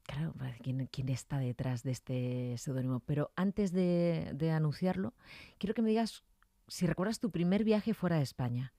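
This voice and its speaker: A woman's voice: low voice, moderate pace, dark tone, no echo. A woman radio host interviewing a guest conversationally in a studio.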